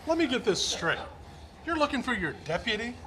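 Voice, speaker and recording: A man's voice: extremely high pitch, big pitch swings, a little fast, bright, forceful tone, full character voice. A man playing a terse, fact-seeking lawman in an old-time radio western parody. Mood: matter-of-fact. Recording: live club performance over clear music.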